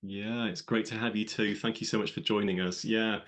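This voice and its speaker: A man's voice: fairly low pitch, fairly even intonation, normal speed. A man hosting a live webinar in an upbeat, chatty, welcoming way. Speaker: webinar host.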